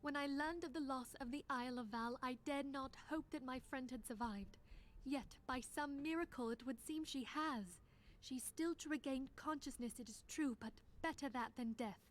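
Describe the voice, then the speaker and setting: A woman's voice: high voice, normal speed, lightly acted, low-quality recording. An actress voicing a composed, earnest female leader who speaks formally and with archaic phrasing in a fantasy game. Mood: grave, earnest. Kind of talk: scripted game voice acting.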